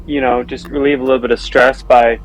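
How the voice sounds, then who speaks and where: A man's voice: mid-range voice, flat delivery, fairly quick, rough recording. A man playing a tabletop role-playing game, casually describing what his character does.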